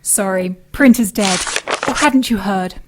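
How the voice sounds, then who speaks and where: An actress with a British accent playing a tech-savvy, teasing partner in an audio drama, speaking precisely and dryly. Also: female voice, medium pitch, a little slow, noisy background, close to an everyday voice.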